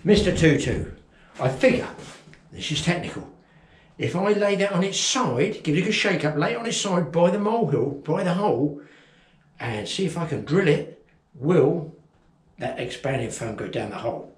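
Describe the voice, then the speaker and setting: Older male vlog host, playful and chatty, slightly high voice, recorded off-mic in an echoey cabin. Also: normal speed, recorded from a distance. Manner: jokey and animated, chuckling asides. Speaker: fishing channel host. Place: garden cabin indoors, partly outdoors in the garden.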